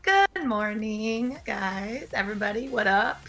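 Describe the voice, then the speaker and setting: A young adult woman telling a casual story as a guest, easygoing and quick to laugh. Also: mid-range voice, lively intonation, fairly bright tone, faint music behind. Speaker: guest co-host. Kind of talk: casual chat.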